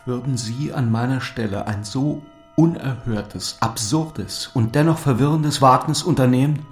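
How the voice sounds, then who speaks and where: A man's voice: mid-range voice, faint music behind, lightly acted. Earnest, troubled nobleman played by a man. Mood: hesitant, questioning. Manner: formal, measured, earnest. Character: earnest, troubled young nobleman, formal and fatalistic. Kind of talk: German literary radio drama acting.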